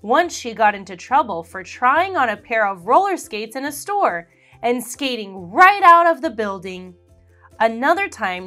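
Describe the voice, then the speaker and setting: Animated, slow-reading woman storyteller. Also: fairly high pitch. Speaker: children's book reader. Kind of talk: expressive read-aloud for children.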